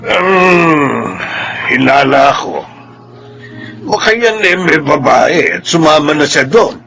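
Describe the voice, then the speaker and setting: An actor playing a bitter, gruff old father who sneers at his son-in-law in a Filipino radio drama. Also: fairly high pitch, big pitch swings, slow, a bit bright, faint music behind, heavily acted. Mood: suspicious, irritated.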